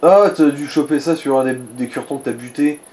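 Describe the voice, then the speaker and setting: A man's voice: medium pitch, fairly quick, a little mellow. A man casually co-commenting on a video game, giving advice in blunt slang. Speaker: co-commentator advising the player. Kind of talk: casual gaming chat.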